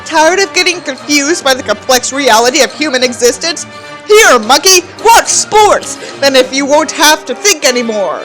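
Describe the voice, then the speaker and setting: A woman's voice: high voice, lively intonation, normal speed, a bit bright, rough recording. A woman voicing a bitter, self-important nihilistic teenage boy in a theatrical, contemptuous dramatic reading of his journal, over music.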